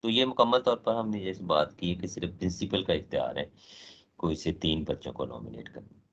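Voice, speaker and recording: A man giving a training lecture with a sore throat and a cough, explaining in a measured way with many pauses and English phrases mixed in. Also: low-pitched, gravelly, fairly bright tone, lo-fi sound. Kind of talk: slow recorded lecture.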